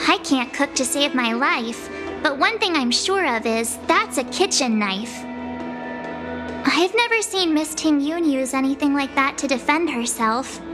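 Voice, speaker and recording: A woman voicing a gentle, curious young girl adventurer in a video game. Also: high voice.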